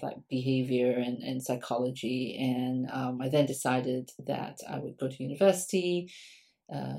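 Low-voiced woman from Singapore. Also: creaky, a little mellow. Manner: frequent ums, thoughtful and conversational. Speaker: guest academic. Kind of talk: podcast interview answers.

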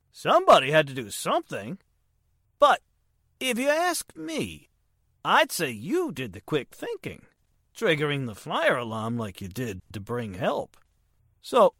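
A woman's voice: low-pitched, a bit bright, slightly rough, no echo, studio quality, full character voice. A woman playing a modest, colloquial working-class firefighter in a 1940s-style radio drama. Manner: colloquial, unpolished grammar.

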